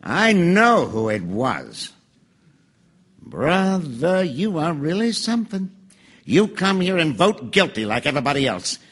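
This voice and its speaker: Belligerent raspy hothead juror. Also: male voice, fairly high pitch, very animated, unhurried, full character voice. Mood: angry scorn. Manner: forceful, sneering, bullying. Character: belligerent, hot-tempered, scornful hardline juror. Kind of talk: stage radio drama.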